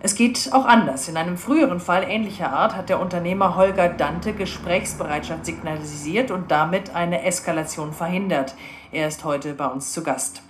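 Actress as slightly low-voiced female news presenter, echoey. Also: normal speed, a little hiss or hum, lightly acted. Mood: composed. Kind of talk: radio drama acting of a broadcast interview.

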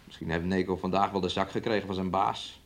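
An actor playing a domineering, resentful young fiancé in a radio play, with a taunting, needling way of talking. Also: male voice, sits low, fairly even intonation, fast, vocal fry. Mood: sullen, needling. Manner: speaks of himself and her in third person, taunting.

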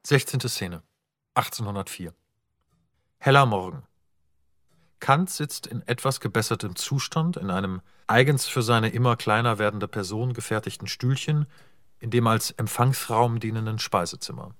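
Measured man reading stage directions. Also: fairly low pitch, no echo, studio quality. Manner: measured, even, descriptive. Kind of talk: scripted narration.

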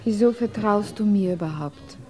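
An actress playing a grave, unflinching wife in a 1950s German radio drama, probing and restrained. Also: female voice, mid-range voice, normal speed, darkish tone, faint music behind, natural voice. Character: grave, unflinching wife of a cold, powerful man.

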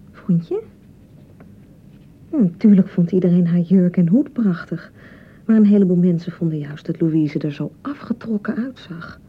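Anxious young Dutch bride, muffled recording. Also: female voice. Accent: Netherlands Dutch. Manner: hesitant, rambling, self-correcting. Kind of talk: naturalistic radio play dialogue. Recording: muffled radio broadcast with rumbling noise.

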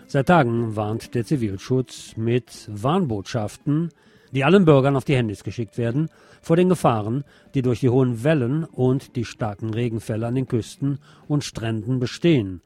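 A man's voice: medium pitch, moderate pace, darkish tone. A man presenting scripted magazine news on the radio, with a wry, ironic touch in his delivery.

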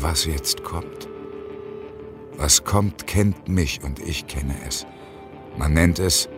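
An actor playing a bitter, obstinate unemployed engineer around fifty in a German radio drama, brooding and insistent. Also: male voice, very deep voice, exaggerated voice. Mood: brooding, fatalistic. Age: 50s. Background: music.

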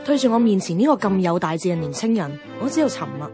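A woman's voice: mid-range voice, very animated, fast, mellow, soft background music, close to an everyday voice. An actress playing a jealous, wistful young woman from radio, with a Hong Kong Cantonese accent, in reflective, emotional radio drama delivery. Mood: wry, surprised. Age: young adult. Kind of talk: Cantonese radio drama dialogue and inner monologue.

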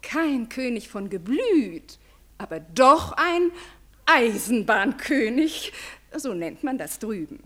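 Radio drama: deferential, gossipy aristocratic lady. High, very animated voice, effusive delivery, theatrical emphasis. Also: full character voice. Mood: confiding. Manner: effusive, dramatic storytelling with emphatic drawn-out words. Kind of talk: German radio play acting.

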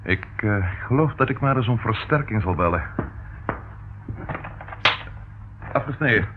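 Grumbling low-voiced man as detective sidekick, noisy radio. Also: expressive, brisk, lightly acted. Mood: uneasy. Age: middle-aged. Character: dry, grumbling ageing male detective sidekick. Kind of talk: radio drama acting. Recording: noisy, tinny old radio recording.

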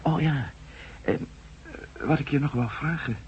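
An actor playing a wry, adventurous ex-astronaut reporter in a Dutch science-fiction radio drama, animated in his delivery. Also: a little high, a little slow, slightly rough. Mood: eager, hesitant. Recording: dull, noisy old radio recording with hum.

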